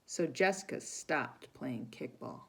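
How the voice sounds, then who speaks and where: A woman reading a children's story aloud expressively, pausing to chat with young listeners. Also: a bass voice, lively intonation, creaky. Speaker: volunteer storybook reader for children.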